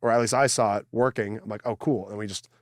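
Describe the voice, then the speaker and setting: A man's voice: fairly low pitch, fairly even intonation, fast, dry recording, studio quality. A congested man, a podcast co-host, rambling in a casual chat with many stammers and restarts. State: congested from a cold.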